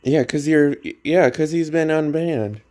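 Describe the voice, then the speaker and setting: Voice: medium pitch, unhurried, darkish tone. A game streamer giving casual commentary, offhand and half muttering to themselves. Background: faint game music.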